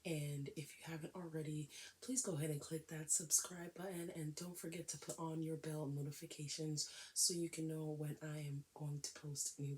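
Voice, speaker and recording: A woman with a raspy, cold-worn voice chatting casually to camera in a hair vlog, often dropping into a whisper. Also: deep voice, darkish tone, slight background noise. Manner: chatty, emphatic, with frequent whispery stretches. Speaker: hair vlogger.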